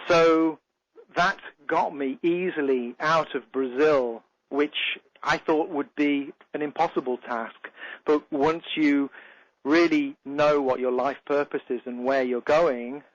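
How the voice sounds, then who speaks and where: A man with an English accent hosting a radio show as a success coach, talking earnestly in a rambling way that often restarts its sentences. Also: a little high. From England. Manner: earnest, rambling, with frequent restarts and "you know". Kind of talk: motivational radio monologue. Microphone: studio microphone. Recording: slightly muffled radio broadcast.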